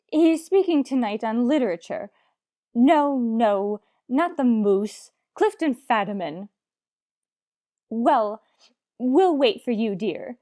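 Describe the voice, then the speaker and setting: An actress playing a haughty, fussy society matron with affected, melodramatic upper-class diction in old-time radio comedy. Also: female voice, a little high, a little mellow, no echo, studio quality. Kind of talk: 1940s radio comedy acting.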